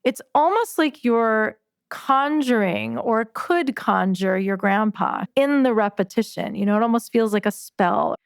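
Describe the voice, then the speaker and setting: A woman hosting a podcast, talking through poems in a slow, thoughtful, gentle way. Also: fairly high pitch, animated, vocal fry, clear, resonant tone, no echo, clean studio sound. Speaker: podcast host. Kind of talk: reflective conversation about poetry.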